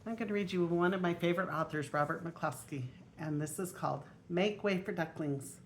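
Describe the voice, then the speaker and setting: An older woman, a grandmother, reading a children's picture book aloud in a deliberate way with emphasized story words. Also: lowish voice. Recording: faint noise on homemade video.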